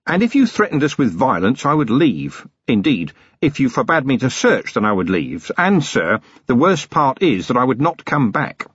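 A man playing a weary veteran police commander, steady and firm with a dry edge, a slightly husky voice. Dry and dull-sounding recording. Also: mid-range voice, no room sound, voice pushed up. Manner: measured, firm, dryly wry.

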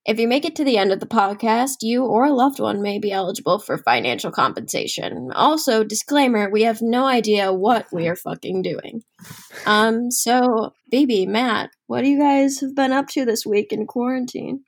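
A woman's voice: a little high, expressive, normal speed. A young woman hosting a casual podcast chat, joking and swearing freely. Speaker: podcast host.

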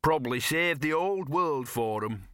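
A man's voice: medium pitch, expressive, normal speed, pushed and bright, dry recording, clean studio sound. A man voicing a sullen little dog in an audiobook, muttering and whining self-pityingly in slangy, colloquial speech. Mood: sullen, aggrieved. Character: grumbling, sullen small bow-legged talking dog.

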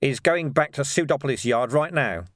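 A man voicing a gruff, righteous middle-aged policeman in an audiobook, speaking in emphatic, colloquial working-class phrases. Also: mid-range voice, normal speed, dry recording, studio quality, pitched above the performer's own voice, lightly acted. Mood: flustered, curt. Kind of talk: dramatic audiobook character dialogue.

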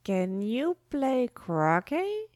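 A storybook narrator voicing a furious, imperious Queen, shrill and commanding. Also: huge pitch swings, extremely slow, a little mellow, full character voice. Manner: screaming, commanding.

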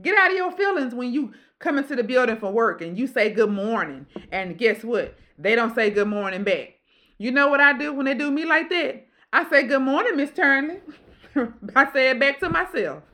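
A woman in her forties with a deep voice, hosting a casual podcast chat and preaching in an emphatic, repeating way. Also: lively intonation, moderate pace, bright, forceful tone. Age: 40s. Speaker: podcast host.

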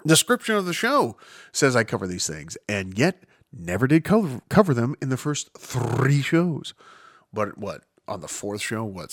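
A man's voice: mid-range voice, fairly bright tone, no echo, clean studio sound. A man hosting a casual solo news podcast, rambling and swearing, poking fun at himself as he jumps from topic to topic. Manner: rambling, profane, self-mocking, jumps between topics. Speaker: podcast host.

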